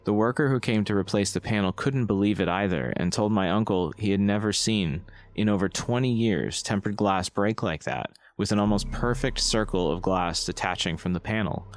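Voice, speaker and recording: A man's voice: fairly low pitch, normal speed, hardly any pauses. A man reading a true-story account as scripted narration. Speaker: story reader.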